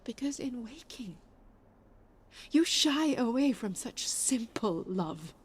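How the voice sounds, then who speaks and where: A melancholy, intense heartbroken lover. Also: female voice, fairly high pitch, sing-song, takes it slowly, slightly rough, exaggerated voice. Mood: yearning, intense. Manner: intense, poetic, confessional. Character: melancholy, possessive heartbroken lover, an actor by trade. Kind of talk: intimate audio drama monologue and dialogue.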